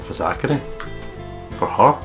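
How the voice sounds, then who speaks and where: A man reading visual novel narration aloud as a let's play host. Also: measured pace. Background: game music with guitar.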